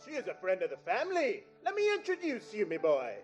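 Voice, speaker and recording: An actor playing a hearty, exuberant old master of a warehouse in a radio play. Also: male voice, huge pitch swings, brisk, faint music behind. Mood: merry, welcoming. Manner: booming, exuberant, generous. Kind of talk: 1930s radio play acting.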